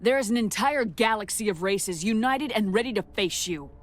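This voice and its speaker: A woman's voice: medium pitch, animated, normal speed, soft background music, lightly acted. Firm, defiant military commander, played by a woman. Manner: firm, terse, challenging. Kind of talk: video game hero voice acting.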